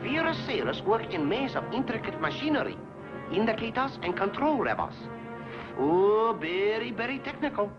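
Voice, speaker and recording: A man's voice: high voice, big pitch swings, normal speed. A man voicing a gleeful newsreel announcer in a 1940s propaganda cartoon, with exaggerated broken grammar. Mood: mock cheerful. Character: mocking caricature of a wartime Japanese newsreel announcer. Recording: muffled 1940s film soundtrack, loud music.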